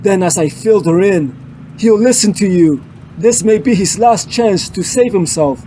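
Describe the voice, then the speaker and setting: A man's voice: high voice, normal speed, dark tone, slight background noise, close to an everyday voice, poor audio. A man voicing Batman as a grave, driven detective hero in a solemn, melodramatic comic-book dub. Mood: brooding. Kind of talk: dramatic comic-book dub with inner monologue. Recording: music bed under the voice.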